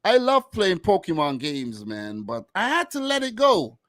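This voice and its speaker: A man in his mid-thirties chats loosely about football as a podcast co-host, his voice sitting a little high. He rambles, restarts and leans on "you know" and "man". The sound is dry and close. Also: wildly swooping pitch, unhurried, fairly bright tone, dry recording. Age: 30s. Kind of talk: casual chat.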